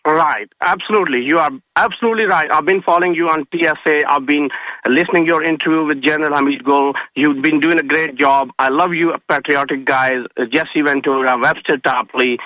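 Pakistani-accented male caller, non-native English, effusive and sincere, sharp tone, very dry sound. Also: a little high, steady tone, fairly quick, no echo, low-quality recording. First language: not English. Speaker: phone-in caller. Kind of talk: earnest call-in remarks.

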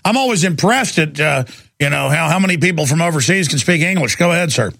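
A man's voice: a little high, expressive, fast, gravelly, fairly bright tone, no echo, clean studio sound. An American man hosting a live political call-in radio show, talking in an emphatic, opinionated way. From United States. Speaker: talk radio host.

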